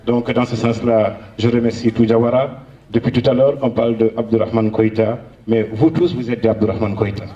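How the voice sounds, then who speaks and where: A man, a Soninke speaker, addresses a gathering in slow, earnest phrases broken by hesitant fillers. His voice sits at an ordinary pitch and carries a roomy echo, picked up from some distance from the mic. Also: fairly even intonation, warm tone, muffled recording. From Senegal. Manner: earnest, measured oratory with frequent hesitations. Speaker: honoree giving a public speech. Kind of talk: public speech at a local ceremony.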